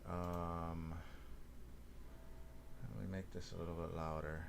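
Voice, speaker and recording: A man talking casually on a live coding stream as a software developer, thinking aloud as he demonstrates. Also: deep voice, level tone, slow pace, gravelly, background noise.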